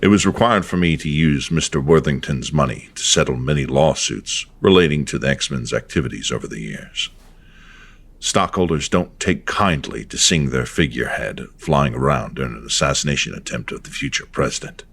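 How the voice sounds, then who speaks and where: An actor playing a stiff, businesslike administrator in an audio drama, formal and matter-of-fact. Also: male voice, a bass voice, moderate pace, fairly bright tone, husky, heavily acted.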